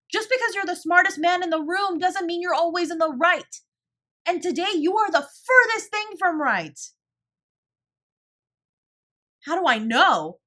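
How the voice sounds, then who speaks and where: A woman's voice: extremely high pitch, pushed and bright, heavily acted. A woman playing a fiercely protective older sibling confronting a parent in an audio drama, scolding and emphatic with a sarcastic edge. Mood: angry, indignant. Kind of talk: dramatic audio roleplay acting.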